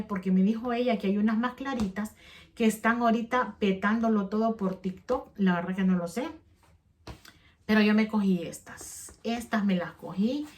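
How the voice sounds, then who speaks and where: A middle-aged woman, chatting casually to the camera for her vlog, rambling and often restarting her sentences as she talks straight to her viewers. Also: on the high side. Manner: rambling, chatty, self-correcting; addresses viewers directly. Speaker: vlogger.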